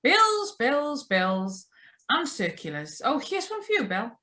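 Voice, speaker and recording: A woman's voice: high voice, wildly swooping pitch, slow pace, pushed and bright, full character voice. A woman acting a chatty, doting older mother-in-law in an old-style radio drama read over a video call. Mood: pleasant, curious. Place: remote live reading over video call.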